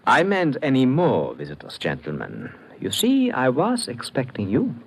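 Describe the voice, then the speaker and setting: An actor playing a smug, unruffled gentleman perfume dealer with urbane, ornate speech in an old radio drama. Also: medium pitch, huge pitch swings, normal speed, gravelly. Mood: coy, unruffled. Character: suave, slippery gentleman perfume dealer, smugly unruffled suspect. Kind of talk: 1940s-50s radio drama acting. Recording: noisy old radio broadcast recording.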